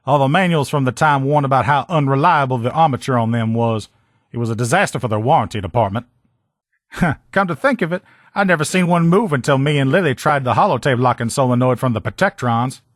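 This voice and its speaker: A man voicing a gruff, loyal military lieutenant in a video game, with blunt, profane, folksy soldier talk. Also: mid-range voice, lively intonation, a little fast, no room sound, studio quality, lightly acted. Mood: conversational. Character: gruff, loyal military lieutenant in power armor, protective comrade. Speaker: voice actor in a game mod. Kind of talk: video game character dialogue lines.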